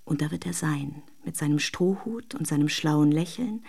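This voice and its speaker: A dreamy, self-deluding wife longing for her lover in a radio monologue. Her low voice is husky and mellow, often nearly whispered as she confides precisely. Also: normal speed, exaggerated voice. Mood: secretly excited. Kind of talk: intimate radio drama monologue.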